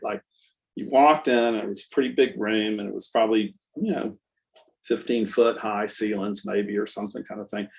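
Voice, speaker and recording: A man's voice: fairly low pitch, creaky. Rambling man in his sixties. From Texas. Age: 60s. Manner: rambling, relaxed storyteller with many fillers. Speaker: guest artist and musician. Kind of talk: casual conversational interview.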